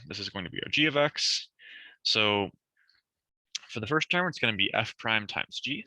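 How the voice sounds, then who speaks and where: A young man tutoring a math review session, talking through problems step by step and correcting himself, his voice a bit worn as he clears his throat. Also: on the low side, sharp tone, dry recording, rough recording. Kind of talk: recorded review session lecture.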